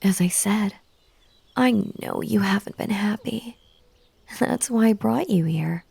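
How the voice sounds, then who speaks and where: A woman's voice: medium pitch, a little slow, creaky, a little mellow, slightly rough, full character voice. A woman playing an unhinged, lovesick bunny girl in an intimate audio roleplay, coaxing and hypnotic, slipping into whispers. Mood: tender, soothing.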